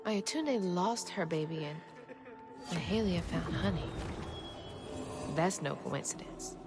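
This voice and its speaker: A woman's voice: fairly low pitch, expressive, takes it slowly. A woman playing a determined, tender young game heroine with a Southern American accent, earnest and plain-spoken. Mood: somber. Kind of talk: naturalistic game drama dialogue. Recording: studio voice over music.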